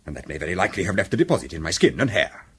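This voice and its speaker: An actor playing a pompous, indignant English gentleman villain in a radio drama, formal and outraged in manner. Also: deep voice, very animated, very fast, slight background noise. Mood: haughty indignation.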